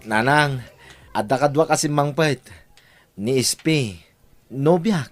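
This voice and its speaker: A man plays a young son in love in an Ilocano radio drama. His voice sits at a middle pitch, and he is devoted and insistent. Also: slow, soft background music, lightly acted. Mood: earnest.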